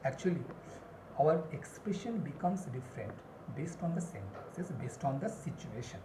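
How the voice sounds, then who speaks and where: Low-pitched woman teaching, echoey room. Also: expressive. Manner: deliberate, repeats key phrases for emphasis. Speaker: spoken English teacher. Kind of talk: instructional lesson with numbered points and examples. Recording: roomy echo, clear background noise.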